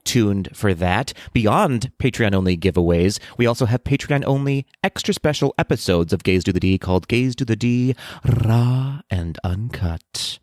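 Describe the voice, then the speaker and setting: A man co-hosting a casual podcast chat, easygoing and quick to laugh. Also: fairly low pitch, lively intonation, darkish tone, dry recording, clean studio sound. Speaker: podcast co-host. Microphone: headphones and studio mic.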